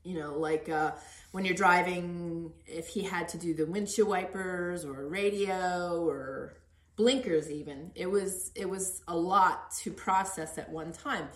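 Middle-aged female podcast host, lower register, casual and encouraging, roomy echoey sound. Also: measured pace. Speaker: podcast host interviewing her son. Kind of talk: casual conversational interview.

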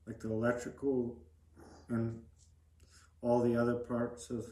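A young man gives plain, halting answers as a podcast guest. His pitch is mid-range and stays quite flat, and the room sounds echoey. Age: young adult. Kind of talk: casual interview answers.

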